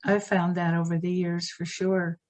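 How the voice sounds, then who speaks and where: A woman co-hosting a video call, giving practical advice while losing her voice and clearing her throat. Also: on the low side, flat delivery, moderate pace, darkish tone. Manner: practical, many fillers. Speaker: expert co-host.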